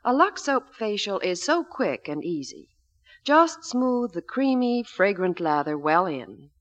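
A woman's voice: mid-range voice, very animated, a little slow, lo-fi sound. An American woman delivering scripted 1940s radio advertising banter as a Hollywood reporter. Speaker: Hollywood reporter and soap pitchwoman.

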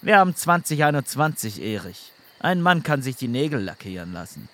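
A man's voice: medium pitch, sing-song, fairly quick, a bit bright. A man playing a hungover, sardonic police inspector in a comedic audio drama, groaning and curt. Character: hungover, irritable, hard-drinking male police inspector, sardonic.

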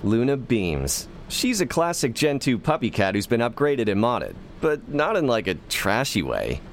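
A man's voice: mid-range voice, sing-song, normal speed, slight background noise. A man playing a wry, tongue-in-cheek narrator of scripted sci-fi comedy, with theatrical flair and conversational asides. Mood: wry storytelling. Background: music.

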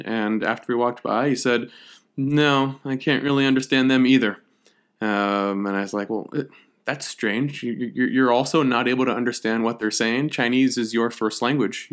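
A man's voice: fairly low pitch, fairly bright tone. A man hosting a podcast, talking through a topic in a conversational, lecture-like way with frequent fillers and restarts. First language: English. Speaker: podcast host. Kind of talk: casual lecture-style podcast monologue.

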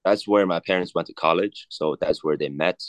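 A man in his thirties from China, a native Cantonese speaker, talking haltingly as an interview guest with many fillers and restarts. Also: low voice, no echo. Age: 30s. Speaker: guest, watch collector. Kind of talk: casual interview chat.

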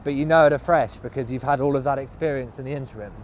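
A man talking in a thoughtful, halting way, with frequent restarts, while walking outdoors. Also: mid-range voice, slight background noise. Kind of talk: casual conversation. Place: walking outdoors by a cycle path in a city.

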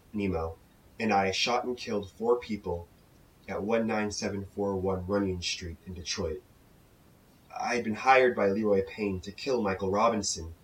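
Low, grave confessed hitman played by an actor. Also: distant mic, slight background noise, lightly acted, rough recording. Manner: formal, measured, deliberate. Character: confessed hitman giving a grave, emphatic sworn statement. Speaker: actor in a documentary drama. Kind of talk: scripted testimony monologue.

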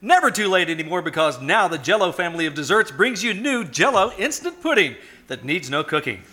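A man plays a cheery 1940s radio announcer. The voice is high and bright with animated swoops, delivering scripted ads and story narration in a peppy salesman style. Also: fairly quick, heavily acted. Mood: upbeat selling. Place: live stage performance at a winery.

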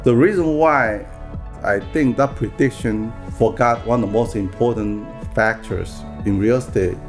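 A man in his sixties from Taiwan tells his story as a podcast guest, speaking in a soft, halting way. He pauses and restarts his sentences often. A little music plays faintly underneath. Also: medium pitch. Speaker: guest real estate broker. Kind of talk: podcast interview.

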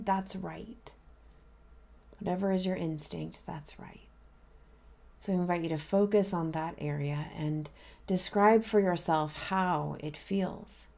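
A woman minister leading a guided meditation in a gentle, unhurried, inviting way. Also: fairly low pitch, gravelly.

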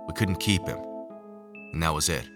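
A very low-pitched male scientist played by a male actor tells his story in a sci-fi audio drama. He is haunted and measured, brooding over each phrase, with music clearly playing underneath. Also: animated. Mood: brooding, grave. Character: haunted male lab scientist recounting secret experiments. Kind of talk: first-person scripted audio drama narration.

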